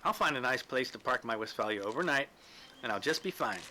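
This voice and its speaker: Storybook rustic veteran, acted by a male reader: shrewd, folksy, blunt, kindly underneath, with a forceful bright edge. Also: mid-range voice, expressive, brisk, a little hiss or hum. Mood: kindly, reassuring. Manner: folksy, dialect-laden, blunt. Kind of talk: storybook character dialogue.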